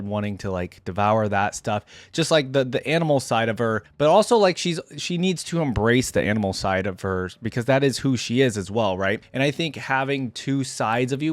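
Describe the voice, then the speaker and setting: A man's voice: mid-range voice, no echo. Male video host, mid-range voice, casual reactions and read-alouds, thinks aloud, very dry close recording. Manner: conversational, thinks out loud. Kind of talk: casual reaction commentary mixed with reading aloud.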